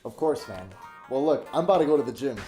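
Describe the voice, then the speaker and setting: A man's voice: mid-range voice, lively intonation, fast talker, dark tone, faint music behind, close to an everyday voice. A young man acting in a student skit as an eager friend coaxing his buddy to work out.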